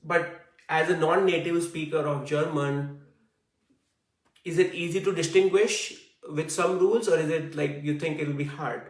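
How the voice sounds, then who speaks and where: Man, slightly high voice, online teacher, chatty and filler-heavy, roomy and off-mic. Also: fairly even intonation, a little slow, far from the mic. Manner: conversational, frequent fillers and "I see". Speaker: Hindi teacher.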